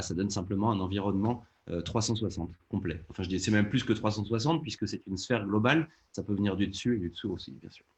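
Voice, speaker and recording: Fast-talking man with a lowish voice. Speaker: audio fiction producer, panel guest. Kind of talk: panel discussion, explanatory.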